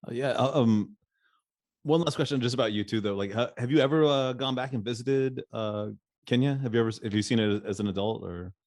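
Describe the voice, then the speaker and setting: A man's voice: medium pitch, a little fast, a little mellow, dry recording, studio quality. A man hosting a podcast, talking in a loose, rambling way and laughing often. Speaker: podcast host. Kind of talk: casual interview chat.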